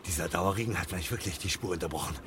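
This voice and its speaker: A man's voice: sits low, very fast, heavy background noise, natural voice. A man acting a hunted fireman on the run in a radio play, muttering agitatedly to himself, defiant, sarcastic and afraid. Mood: tense, excited.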